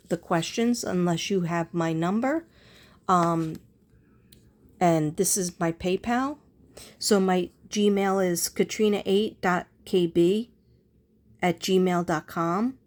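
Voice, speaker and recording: Female vlogger, mid-pitched, slow delivery, informal and meandering, thinks out loud to viewers. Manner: unhurried, meandering, thinking aloud. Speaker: psychic reader and vlogger. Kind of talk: casual rambling vlog talk to viewers.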